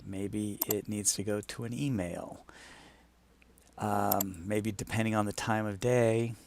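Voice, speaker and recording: A man with a fairly low voice walks through something on screen in an informal way. He explains it like a client briefing someone on a project, thinking aloud as he demonstrates. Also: steady tone, slow pace, creaky, a little mellow, a little hiss or hum. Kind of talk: casual screen-share walkthrough.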